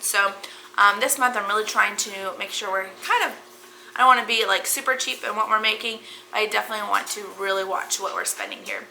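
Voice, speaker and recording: A hoarse woman in her thirties hosting a casual cooking vlog, chatty and full of ums and likes. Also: medium pitch, pushed and bright, slight background noise, rough recording. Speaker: cooking channel host.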